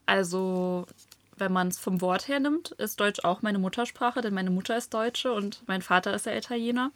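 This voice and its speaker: A young woman from Germany, a novelist guest, talking thoughtfully in an interview with searching pauses and fillers. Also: mid-range voice. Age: young adult. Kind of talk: reflective interview talk.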